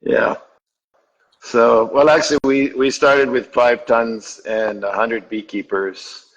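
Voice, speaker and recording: Gravelly, husky man raised in Zambia, halting with lots of "uh", dull lo-fi call audio. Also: mid-range voice, level tone. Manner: halting, many "uh"s, dry humor. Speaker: honey supplier guest. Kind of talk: casual online panel chat.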